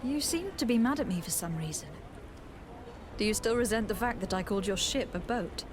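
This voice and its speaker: A woman's voice: mid-range voice, animated, brisk, noisy background, lightly acted. A woman playing a poised, politely teasing young noblewoman diplomat in a video game. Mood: teasing, curious.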